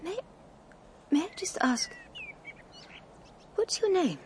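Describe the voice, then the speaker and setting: A gentle lady in a radio drama, anxious and emotional. Her voice is high and breathy, and she speaks slowly and hesitantly with swooping intonation. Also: big pitch swings, a little hiss or hum, exaggerated voice.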